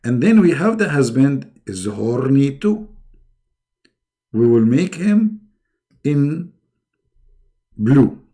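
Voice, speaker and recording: A man's voice: mid-range voice, very animated, takes it slowly, long pauses, dark tone. A man speaking English as a second language, hosting a live-stream debate in a sarcastic, mocking way and repeating words for emphasis. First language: not English.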